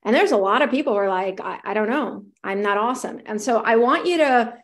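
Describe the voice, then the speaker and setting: A middle-aged woman hosting a live show, upbeat and encouraging, reading viewers' messages aloud, her voice slightly raspy. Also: fairly high pitch, lively intonation, brisk. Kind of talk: live-streamed talk to viewers.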